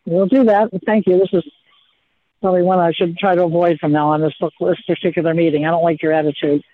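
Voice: medium pitch, level tone, fast, slight background noise. A book group participant making casual interjections. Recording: thin phone line sound.

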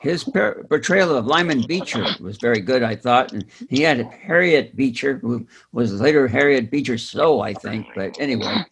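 A man speaking casually and haltingly in a book discussion. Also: medium pitch, expressive, fairly bright tone, rough recording. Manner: halting, hesitant delivery. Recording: clear background noise with clicks.

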